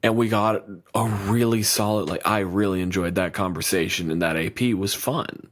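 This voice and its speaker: Low-voiced, profane podcast co-host. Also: male voice, moderate pace. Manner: animated, swears freely, rambling with restarts. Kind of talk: casual chat.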